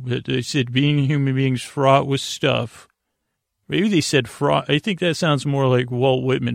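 A man hosting a bedtime podcast in a creaky, mumbling voice, meandering off on tangents. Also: mid-range voice, dry recording, studio quality. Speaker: podcast host. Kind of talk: rambling bedtime podcast intro.

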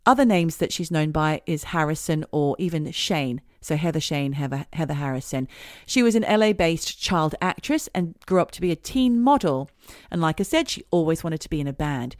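A woman's voice: deep voice, lively intonation, normal speed, no room sound, clean studio sound. A middle-aged woman co-hosting a casual comedy podcast, telling stories in a chatty way. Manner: chatty storyteller, sings snatches of songs. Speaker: podcast co-host.

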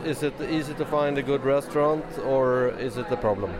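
A man's voice: medium pitch, brisk. A man working as an interviewer, asking questions in a plain interview style.

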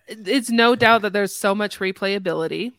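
Woman, podcast host, very bright forceful voice, mid pitch, dry close recording, casual chat. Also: normal speed, dry recording.

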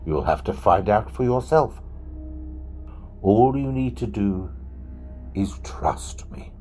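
A man playing a grave, mysterious Father Christmas in an audio story, soft-spoken and coaxing, with a husky, breathy voice and slow, deliberate delivery. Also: lowish voice, animated, faint music behind. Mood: quietly coaxing. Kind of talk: dramatic audio story acting.

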